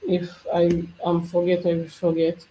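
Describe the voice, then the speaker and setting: Instructor, mellow tone, faint background hiss, step-by-step explaining. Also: mid-range voice, completely flat delivery, measured pace, rough recording. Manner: explains step by step, thinking aloud. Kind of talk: screen-share software tutorial.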